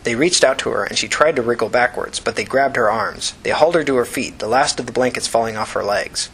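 A man narrates a novel in a mid-pitched voice with a bright, forceful edge. The style is scripted audiobook reading, recorded with clear background noise and a low rumble. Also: fairly quick, rough recording.

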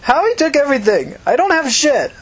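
A woman voicing an enraged, despairing runaway young woman in a story reading, bitter and hoarse. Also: high-pitched, sing-song, fairly quick, pitched above the performer's own voice. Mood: angry, demanding. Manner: shouting accusations, profane, bitter. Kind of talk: audiobook character acting.

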